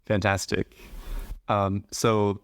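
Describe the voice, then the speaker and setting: A man hosting a podcast interview, speaking in a measured, explanatory way. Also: lowish voice, monotone, vocal fry, a bit bright, dry recording. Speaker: podcast host.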